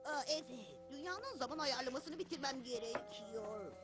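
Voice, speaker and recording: Cartoon dub: boastful little mad-genius boy, high-pitched, very animated. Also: normal speed. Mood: scheming. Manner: grandiose, excitable. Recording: music behind the voice.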